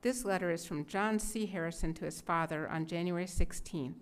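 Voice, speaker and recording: A middle-aged woman narrates from a script at a podium. Her voice sits a little low for a woman. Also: a little fast, clear, resonant tone. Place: indoor museum gallery at a podium. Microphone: podium microphone.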